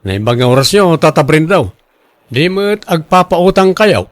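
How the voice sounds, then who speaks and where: A man's voice: fairly high pitch, sing-song, normal speed, no echo, studio quality. A man playing a cash-strapped, defensive husband pleading for loans in an Ilocano radio drama, with an Ilocano accent. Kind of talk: Filipino radio drama acting.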